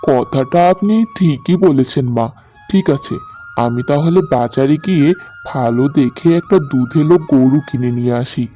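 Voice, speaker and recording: A man's voice: medium pitch, moderate pace, dark tone, close to an everyday voice. A man playing a proud, wronged young husband in a cartoon story. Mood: agreeable. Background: background music.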